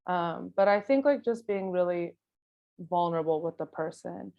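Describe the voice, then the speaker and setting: A woman's voice: fairly low pitch, normal speed, creaky, lo-fi sound. A woman guest photographer answering interview questions casually and informally, thinking aloud with plenty of filler phrases. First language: not Spanish; Spanish is second language. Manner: informal, thinking aloud, frequent "like" and "you know". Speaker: guest photographer giving an artist talk.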